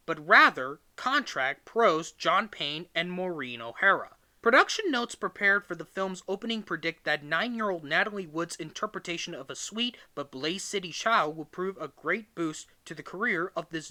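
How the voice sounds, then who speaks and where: Bright, lively male read-aloud narrator. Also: sits high. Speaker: reader of a book excerpt. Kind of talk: scripted read-aloud narration of a magazine-style history.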